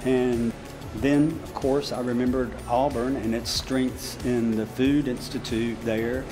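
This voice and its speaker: A man in his sixties gives a sit-down interview indoors as an educator. His pitch sits in the middle of the male range. Music plays clearly behind him. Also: flat delivery, slow pace. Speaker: special education teacher and cafe program leader. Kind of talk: sit-down interview for a promotional video. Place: indoor room of a cafe.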